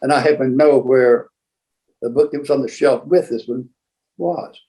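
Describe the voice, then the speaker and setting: An older man with a mellow, relaxed voice and a medium pitch. He talks as a seasoned collector sharing anecdotes, casual and halting, wandering through his tale. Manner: rambling, halting raconteur who acts out remembered exchanges. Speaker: museum founder and book collector, guest storyteller.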